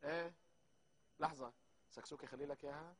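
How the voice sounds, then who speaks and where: A fast, high-voiced Syrian barber, acted by a man in a comedy sketch. He is chatty and hot-tempered, arguing and exclaiming in a rough, husky voice. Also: fairly even intonation, a bit bright. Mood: casual, businesslike. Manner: argumentative, exclamatory, repeats himself. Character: hot-tempered, chatty male barber ranting into his phone.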